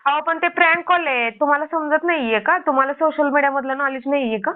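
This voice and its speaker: High-pitched indignant woman on the phone. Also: quick pace. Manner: confrontational, firing indignant rhetorical questions. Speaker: prank-call video creator. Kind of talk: argumentative phone conversation. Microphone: phone call. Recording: narrow tinny phone-line sound.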